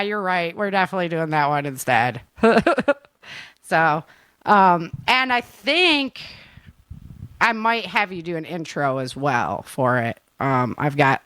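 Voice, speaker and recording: A woman's voice: deep voice, a bit bright, no room sound. A woman hosting a casual chat as an author, speaking in an enthusiastic, informal way with ready laughter. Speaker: author and show host.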